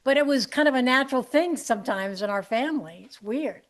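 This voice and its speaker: A woman hosting a comedy podcast, chatting casually, animated and quick to laugh and exclaim. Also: sits high. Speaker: podcast host.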